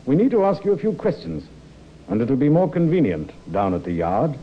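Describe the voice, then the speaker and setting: An actor playing a polite but firm police inspector in a 1950s radio drama, formal and courteous. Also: male voice, mid-range voice, wildly swooping pitch, fairly quick. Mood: firm, official. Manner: courteous, formal, understated authority. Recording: dull, faintly noisy radio recording.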